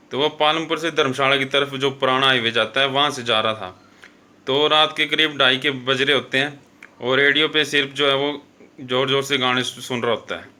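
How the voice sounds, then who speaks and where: A man hosts a horror show and tells the tale in a loose, conversational style. His voice sits at an average pitch with a very sharp, forceful brightness. He leans on repeated filler phrases and often corrects himself as he goes. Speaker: host and storyteller of a horror show. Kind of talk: informal horror storytelling narration.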